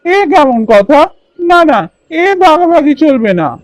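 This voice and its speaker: Man doing a very high, earnest simpleton bird. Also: expressive, measured pace, darkish tone, no echo, poor audio. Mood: protesting. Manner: earnest, plaintive. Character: simple, honest, worried elder brother bird. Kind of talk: children's cartoon voice acting.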